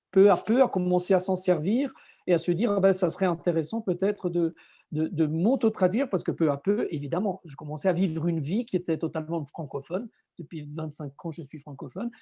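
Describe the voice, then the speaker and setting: A man's voice: high voice, dark tone. A middle-aged man from Switzerland whose first language is Italian, reflecting in an interview and repeating words as he searches for them. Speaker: guest writer. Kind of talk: conversational interview answer.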